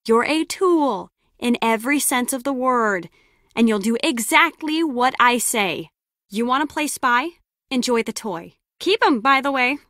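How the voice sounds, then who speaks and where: A woman's voice: a little high, animated, unhurried, fairly bright tone, dry recording, clean studio sound. An actress playing a brusque, sarcastic lone-wolf female spy in a video game, with a curt, biting delivery. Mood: scornful, commanding. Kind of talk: scripted game character dialogue.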